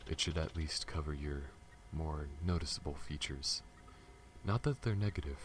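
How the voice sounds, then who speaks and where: A man playing a gentle, bashful alien pilot in an intimate ASMR audio roleplay, speaking softly and breathily with a hesitant, tender manner. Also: rumbling low, normal speed, slight background noise. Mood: gentle, awkward.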